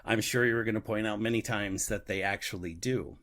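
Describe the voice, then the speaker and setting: A man's voice: on the low side, fairly even intonation, brisk, fairly bright tone. A man co-hosting a podcast in casual, reflective conversation, often repeating himself for emphasis. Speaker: podcast co-host. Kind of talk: casual discussion of an audio drama.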